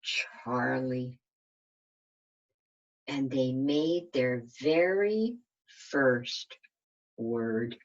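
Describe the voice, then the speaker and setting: A woman's voice: extremely low pitch, extremely slow, pauses often, lo-fi sound. A woman reading a children's storybook aloud like a kindergarten teacher, expressively and with questions for her listeners.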